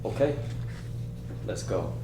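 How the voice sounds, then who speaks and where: A man's voice: slow pace, natural voice. Heard from across an echoing, noisy room, a man plays a hurt, guarded young son. His delivery is clipped and understated, stubborn in temper, as in a table read of a film script. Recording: echoey room, distant mic, noisy hum.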